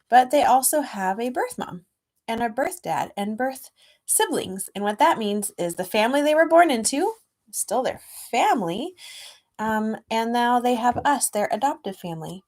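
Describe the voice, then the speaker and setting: A middle-aged woman with a fairly high voice speaks to a young audience in the style of a casual read-aloud. She explains things gently, in simple words that children can follow. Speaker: children's author reading own book aloud.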